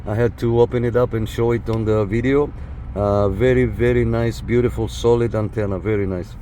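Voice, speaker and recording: A man's voice: fairly low pitch, steady tone, fairly quick, dark tone. A man hosting a casual hands-on radio gear test, speaking in short repetitive call-style phrases. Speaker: host of a CB radio antenna test. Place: inside a parked car and outside beside it. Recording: noisy car and outdoor background.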